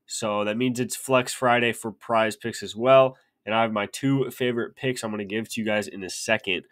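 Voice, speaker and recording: A man's voice: on the low side, fairly even intonation, fairly bright tone. A young man who says he is sick, talking casually to his webcam about betting picks with lots of "literally" and "like". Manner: rapid, filler-heavy, repeats "literally". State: sick, sniffly. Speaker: sports betting picks creator. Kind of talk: casual talk to camera. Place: small room indoors.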